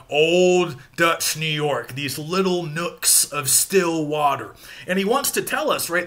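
An American man gives an informal literature lecture in a bright, crisp-edged voice pitched a little high. He explains conversationally, checking in as he goes, recorded at desk distance in a room at home. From the American South. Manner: explanatory, conversational, checking in with "right" and "you know". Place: small home room, indoors.

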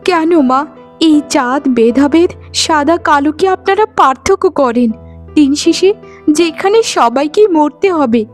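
Woman acting a very high, pleading young wife. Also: animated, measured pace, soft background music. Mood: worried, pleading. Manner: pleading, earnest, respectful. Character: gentle, pleading, dutiful young daughter-in-law and wife. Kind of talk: melodramatic cartoon dubbing.

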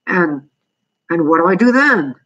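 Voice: mid-range voice, expressive, a little slow, a bit bright, breathy edge, dull audio. A narrator as a fretful, doubtful landlord. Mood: doubtful, hesitant. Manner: wary, hesitant, fretful. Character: nervous, grumpy, doubtful male landlord.

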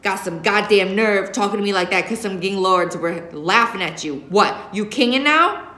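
A woman voicing an angry father scolding his teenage kids in a forceful, commanding way, in an audiobook-style story reading. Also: medium pitch, moderate pace, natural voice. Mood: stern, scolding. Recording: echoey classroom.

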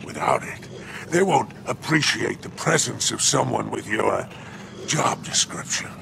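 A man's voice: on the low side, expressive, unhurried, background noise, heavily acted. A man voicing a sly, hulking alien crime boss in a video game, with a growling, drawling, theatrical and mock-friendly delivery. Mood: sly, menacing. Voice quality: gravelly, growling. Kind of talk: video game character dialogue. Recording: music under the voice.

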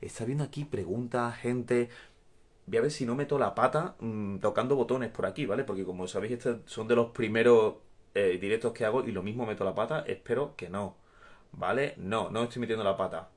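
Andalusian man, 30s, fairly low voice, casual live-stream chat recorded on a phone or webcam at home. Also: brisk. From Andalusia, Spain. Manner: warm, chatty, laughs often, gestures while talking. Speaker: actor answering fans in a live stream. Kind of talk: casual live chat reading viewer comments. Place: small home room. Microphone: phone or webcam at arm's length.

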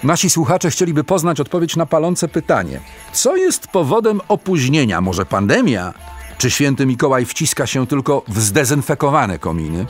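A man's voice: mid-range voice, very animated, normal speed, soft background music, natural voice. A man playing a polite, probing radio phone-in host in a theatrical Christmas radio play. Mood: urgent. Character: lively, inquisitive male radio phone-in host.

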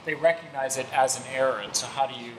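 A man's voice: medium pitch, steady tone, fast talker. A middle-aged man on an academic panel, thinking aloud and searching for words as he asks and answers questions. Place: seminar room with a panel table. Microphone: table microphone. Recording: echoey hall with background noise.

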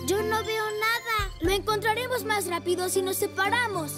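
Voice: very high-pitched, fairly even intonation, normal speed. A performer voicing a brave, confident young rescue motorcycle boy in a children's cartoon dub, eager and commanding. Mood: determined. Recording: music behind the voice.

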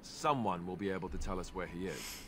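A man's voice: sits low, brisk. An actor playing a deferential young male warrior in a video game, with a wry, conversational manner. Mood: informative. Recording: clear background ambience noise.

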